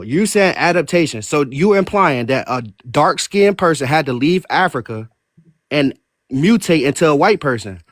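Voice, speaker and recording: A man's voice: fairly high pitch, dry recording, studio quality. A man arguing insistently in a heated online debate, stammering and repeating himself.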